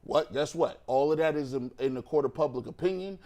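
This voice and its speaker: A man's voice: on the high side, brisk, dark tone. A man on a sports talk panel who repeats phrases for emphasis and acts out imagined quotes as he explains.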